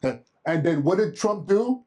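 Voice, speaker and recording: A man's voice: a little high, expressive, normal speed, mellow. Big-voiced, urgent male co-presenter. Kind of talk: live summit talk to an audience. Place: conference room with a live audience.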